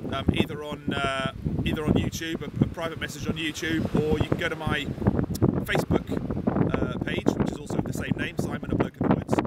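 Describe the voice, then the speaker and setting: A man in his forties, from England, chatting casually outdoors on a beach, relaxed with lots of ums and uhs. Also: on the high side. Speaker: bushcraft vlogger. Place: outdoors on a sunny beach, windy. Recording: loud wind and sea noise.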